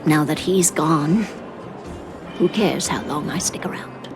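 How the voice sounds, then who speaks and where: A woman's voice: low voice, slow pace. A woman voicing a grieving old widow in a video game, hard of hearing, stubborn and wistful, with music underneath. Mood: wistful, weary. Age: older.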